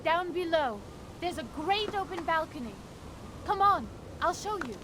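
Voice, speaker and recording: An actress playing a bold, headstrong young princess adventurer in a video game, spirited and brisk in manner. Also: female voice, extremely high pitch, lively intonation. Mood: urgent, eager. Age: young adult. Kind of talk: dramatic video game voice acting. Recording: noisy game ambience behind.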